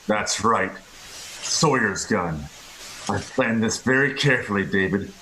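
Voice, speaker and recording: A low, sharp-edged radio-play villain, acted by a man, scheming and gloating. He sneers his menace over a faint hiss. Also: animated, measured pace, poor audio. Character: scheming, gloating male murderer, Harold. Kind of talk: amateur radio play reading.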